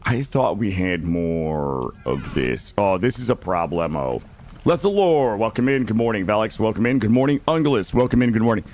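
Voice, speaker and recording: A man livestreaming a game, chatting casually and playfully with some mild swearing, his voice slightly gravelly and not yet warmed up. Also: fairly low pitch, sing-song, moderate pace, slight background noise. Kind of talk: casual gaming livestream commentary and chat.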